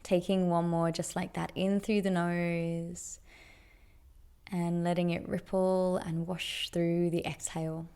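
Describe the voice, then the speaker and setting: A woman leading a guided meditation with gentle, deliberate instruction in long, unhurried phrases. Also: on the low side, flat delivery, clear, resonant tone.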